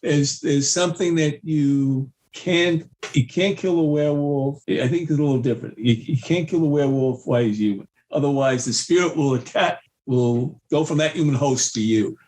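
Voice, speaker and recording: A man's voice: fairly high pitch, moderate pace, hardly any pauses, darkish tone. A man speaking as a guest in a casual discussion. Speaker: podcast guest, author.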